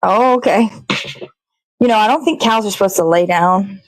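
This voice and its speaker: A woman's voice: medium pitch, normal speed, dry recording, rough recording. Casual rambling woman, close dry sound. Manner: rambling, self-talking, frequent swearing. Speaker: host of a daily puppet show. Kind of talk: casual chat while cooking.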